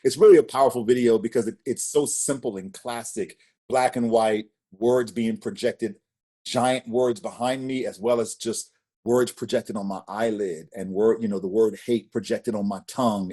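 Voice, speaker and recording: A man's voice: lowish voice, breathy edge. A man in his forties, a spoken word poet, talking casually as an interview guest and telling stories with emphatic rises. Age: 40s. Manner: expressive storyteller, emphatic rises.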